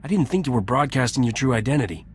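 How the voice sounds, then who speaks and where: A man's voice: medium pitch, animated, quick pace, breathy edge. An actor playing a roguish, cynical male starship captain with a teasing, wry manner, in video game dialogue. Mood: skeptical.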